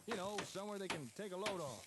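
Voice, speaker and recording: An actor playing an easygoing wasteland settlement builder, talking in a casual, folksy way as video game dialogue. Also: male voice, high-pitched, animated, fast talker, very noisy. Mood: easygoing, practical.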